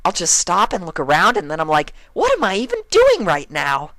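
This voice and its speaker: A man's voice: sits high, huge pitch swings, normal speed, pushed and bright, no room sound, pitched above the performer's own voice, exaggerated voice. A man voicing a hyper, bubbly, childlike female party pony, gushing and bouncy, for a story reading. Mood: chatty.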